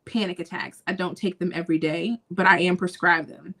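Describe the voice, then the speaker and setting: A woman's voice: lowish voice, little pitch movement, brisk, a bit bright. A young adult woman co-hosting a podcast in casual chat, talking with lots of fillers and frequent laughter. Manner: chatty, laughs often, many fillers. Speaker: podcast co-host.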